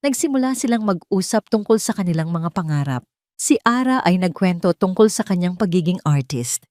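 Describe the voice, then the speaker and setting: Low-voiced woman narrating a dramatic story. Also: animated, normal speed, a little mellow, no room sound, clean studio sound.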